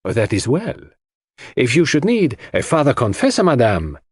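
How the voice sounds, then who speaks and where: An elderly Belgian gentleman detective acted out by a male audiobook reader, enigmatic and self-assured. His speech is precise and courtly, rising and falling widely in pitch. The voice is lifted and slightly husky, recorded very dry. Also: huge pitch swings, normal speed, no room sound. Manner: precise, courtly phrasing sprinkled with French address. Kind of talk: audiobook character voice.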